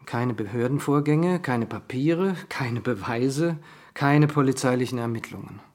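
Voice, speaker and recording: Brisk, low-voiced investigative journalist. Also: female voice, a little mellow. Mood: methodical, focused. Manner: businesslike, listing clipped noun phrases. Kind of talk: radio drama dialogue.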